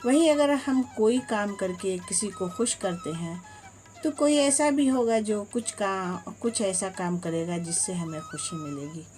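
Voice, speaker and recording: A woman's voice: medium pitch, wildly swooping pitch, measured pace, darkish tone, soft background music. A woman storyteller reading a scripted moral tale as host of a story channel.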